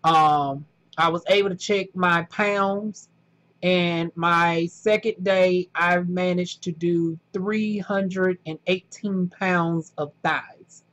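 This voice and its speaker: A man with a thick Southern US accent and a notably high voice talks straight to camera. He rambles chattily through his story, peppering it with "honey" and "y'all" in a relaxed vlog style. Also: slow pace. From southern United States. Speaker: vlogger.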